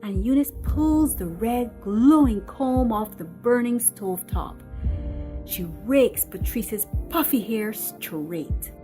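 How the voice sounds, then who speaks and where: A woman reading a screenplay as dramatic scripted narration, expressive and deliberate in her storytelling. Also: fairly high pitch. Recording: music under the voice. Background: music.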